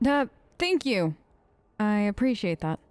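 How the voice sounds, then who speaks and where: A woman's voice: medium pitch, big pitch swings, a little slow, clear, resonant tone, dry recording. A young woman streaming a role-play playthrough, chatty and playful, answering the game characters in her own voice. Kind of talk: casual role-play gameplay commentary.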